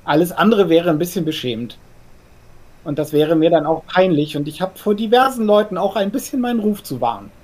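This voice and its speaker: A man's voice: high voice, animated, brisk, a little mellow. Man playing a stammering, fussy male vampire. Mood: tense, earnest. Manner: stammering, repeats words, formal and earnest. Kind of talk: improvised tabletop role-play audio drama.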